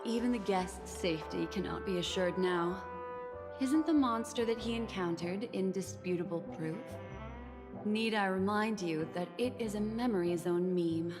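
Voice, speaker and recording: An actress playing a poised, enigmatic, alluring collector of memories in a video game, speaking in measured, theatrical, cryptic phrases. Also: female voice, medium pitch, lightly acted. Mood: grave, knowing. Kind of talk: scripted game dialogue. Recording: long reverb, clear music behind.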